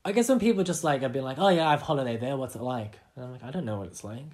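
Young man, Australian accent, medium pitch, casual interview talk, informal, self-deprecating. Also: racing, a little mellow. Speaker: interviewee, artist.

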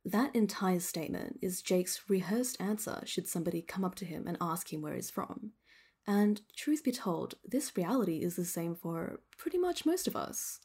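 A woman with an Australian accent reading scripted documentary narration in a measured storytelling manner as the host. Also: lowish voice, gravelly, darkish tone, breathy edge.

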